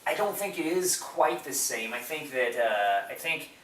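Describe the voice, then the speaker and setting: Bright-voiced enthusiastic man, roomy distant recording. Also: medium pitch, animated, unhurried. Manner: enthusiastic, gushing. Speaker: podcast co-host. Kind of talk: casual film discussion. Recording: roomy, distant mic, background noise.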